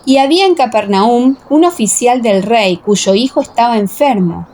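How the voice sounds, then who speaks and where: A woman's voice: on the high side, lively intonation, normal speed, a little mellow. A woman reading scripture as the narrator of a dramatized audiobook.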